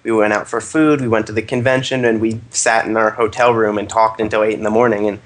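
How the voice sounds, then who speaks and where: A man's voice: on the low side, steady tone, fast, slight background noise. A man guesting on a gaming podcast, recounting things casually and fluently with few fillers. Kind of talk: casual storytelling.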